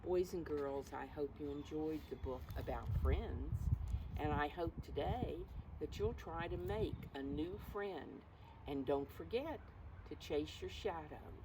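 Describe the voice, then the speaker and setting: A woman's voice: low-pitched, background noise. A woman reading a picture book aloud to young children, explaining it in simple phrases and asking the listeners questions. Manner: slow, simple phrasing that addresses small children.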